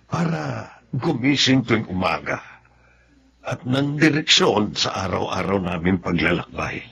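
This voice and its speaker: A man acting in a Tagalog radio drama as a devoted, sentimental father, speaking earnestly and from the heart in a husky, gravelly voice. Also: fairly low pitch, a bit bright, heavily acted.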